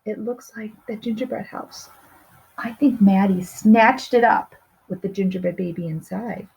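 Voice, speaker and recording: A woman's voice: medium pitch, unhurried, creaky, darkish tone, breathy edge, low-quality recording. A woman reading a picture book aloud to young children, expressively stretching key words and putting questions to her listeners. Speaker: storybook reader.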